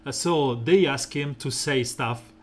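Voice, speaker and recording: A man streaming a mobile game, talking through team strategy in a relaxed, rambling way with lots of fillers and a soft, smooth voice. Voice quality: soft, smooth and deep when slow. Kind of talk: casual gameplay commentary.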